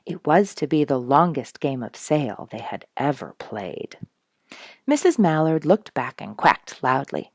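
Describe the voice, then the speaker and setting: Female storybook reader, low and husky, expressive delivery, dry recording. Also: a little slow, dry recording. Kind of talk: scripted children's story narration.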